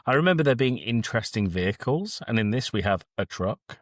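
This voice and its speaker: A man's voice: medium pitch, very animated, brisk, no room sound. A man co-hosting a casual film review chat with dry, sardonic humour. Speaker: podcast co-host.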